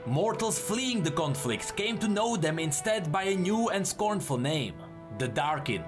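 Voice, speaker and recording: High-pitched man narrating dramatic lore. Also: big pitch swings, unhurried, over music. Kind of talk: dramatic scripted storytelling with explanatory commentary.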